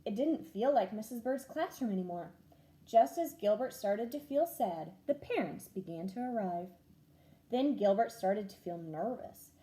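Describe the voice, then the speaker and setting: A woman teacher reading a children's storybook aloud in an expressive, clearly paced way, acting out the dialogue. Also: on the high side, low-quality recording.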